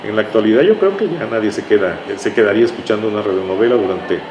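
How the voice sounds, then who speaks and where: A man's voice: lowish voice, lively intonation, quick pace. Mexican man reminiscing, echoey noisy room. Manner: talkative, anecdotal, with frequent tag questions. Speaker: interview guest, writer recalling his radio work. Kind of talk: conversational reminiscing.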